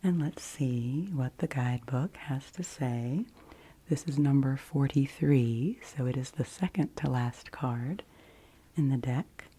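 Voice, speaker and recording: A woman hosting ASMR in a soft, breathy voice that often drops to a whisper, speaking in a gentle, hushed, unhurried way. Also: extremely low pitch, a little hiss or hum. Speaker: ASMR video host.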